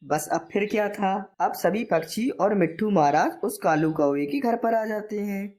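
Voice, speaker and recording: A woman's voice: mid-range voice, expressive, normal speed, darkish tone. A woman narrator reading a scripted children's moral story.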